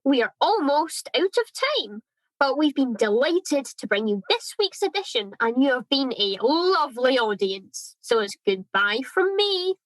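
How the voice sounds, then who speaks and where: Woman playing cheerful show host, high pitch, measured slow pace, mock broadcast. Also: very animated, dry recording, low-quality recording. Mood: bright, professional. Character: chirpy radio show presenter signing off. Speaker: presenter.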